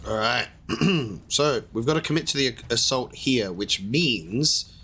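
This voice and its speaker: A man hosting a let's play, chatting casually through the game with a hoarse voice from losing it, upbeat and rambling. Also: medium pitch, lively intonation, takes it slowly, bright, forceful tone, a little hiss or hum. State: hoarse from a lost voice. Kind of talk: casual gameplay commentary.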